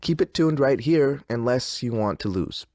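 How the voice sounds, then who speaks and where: A man playing a wry, unsettled game radio DJ with theatrical, smooth-talking patter. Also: mid-range voice, animated, normal speed, dark tone, close to an everyday voice. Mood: slick, upbeat.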